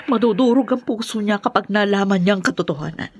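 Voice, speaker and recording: An actress playing a gentle middle-aged woman who shields a loved one, speaking softly and pleadingly. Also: medium pitch, fast, husky, no room sound, clean studio sound, lightly acted. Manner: soft, pleading. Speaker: Alicia, the mother figure. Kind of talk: radio-style drama acting.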